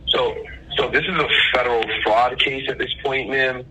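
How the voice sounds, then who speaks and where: A man's voice: medium pitch, fairly even intonation, normal speed, bright, forceful tone. A man on a phone call speaking formally and insistently as a caller posing as an official, in legal language and addressing the listener as ma'am. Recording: telephone line with faint noise.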